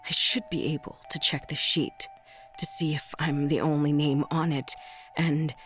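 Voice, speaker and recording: A woman acts out a haunted young-sounding storyteller in a horror audio drama, her voice pitched low. She tells her story as someone jittery and afraid, with faint music underneath. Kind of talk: scripted first-person horror narration.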